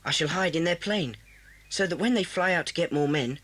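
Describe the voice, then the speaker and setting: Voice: medium pitch, a little fast, fairly bright tone, lightly acted. A performer playing a blunt, brave schoolboy with an English accent in a children's audio drama. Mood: hushed, determined. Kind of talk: children's radio drama acting. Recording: hissy old cassette tape.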